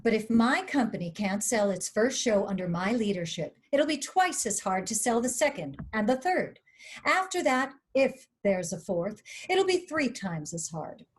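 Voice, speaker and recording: A woman playing a shrewd, dryly skeptical studio boss in a screenplay table read. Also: fairly high pitch.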